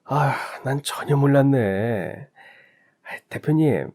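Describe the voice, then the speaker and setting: A man voicing a cheerful, oblivious middle-aged company boss who sounds brightly surprised. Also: mid-range voice, slow.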